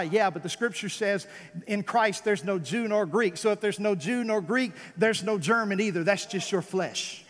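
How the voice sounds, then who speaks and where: A man's voice: high voice, a bit bright. A man with an American accent preaching a fervent revival sermon as a pastor, emphatic, repeating phrases and asking rhetorical questions. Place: church service, amplified in a large room. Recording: reverberant large hall.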